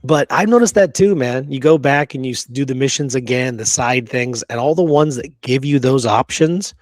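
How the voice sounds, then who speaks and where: A man's voice: mid-range voice, normal speed, no room sound, clean studio sound. A man chatting casually and enthusiastically as a co-host, peppering his talk with slangy, friendly words. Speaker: co-host of a history talk show. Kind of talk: casual chat.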